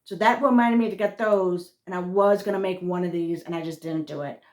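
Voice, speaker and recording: A woman's voice: fairly low pitch, brisk, darkish tone. A woman with a thick Massachusetts accent, chatting casually in a rambling vlog and often trailing off and restarting her words. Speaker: spiritual vlogger.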